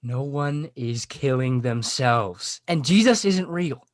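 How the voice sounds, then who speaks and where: An exasperated, defensive teenage boy, played by a man in a comedy table read. He speaks haltingly at a middle pitch, with a very dry, close sound. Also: lively intonation, no room sound. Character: embarrassed, defensive, dumped teenage high school boy.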